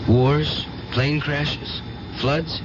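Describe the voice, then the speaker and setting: Earnest, intense young drifter, muffled broadcast. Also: male voice, on the high side, fairly even intonation, drawn-out words, background noise. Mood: fervent. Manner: earnest, fervent about visions and powers. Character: intense, naive, devout young orphan hitchhiker. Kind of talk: radio drama acting. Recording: muffled old radio broadcast with music.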